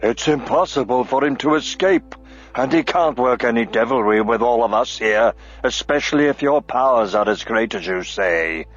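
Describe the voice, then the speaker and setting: A man voicing a stout, stubborn male dwarf warrior who speaks gruffly and defiantly. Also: medium pitch, animated, moderate pace, fairly bright tone. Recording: lo-fi audiobook with faint music.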